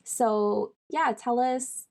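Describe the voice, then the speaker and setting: A woman's voice: on the high side, drawn-out words, creaky, a little mellow. A woman hosting a podcast in a loose, informal way, with plenty of "like" and "um". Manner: informal, rambling, lots of fillers. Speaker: podcast host. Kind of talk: casual podcast chat.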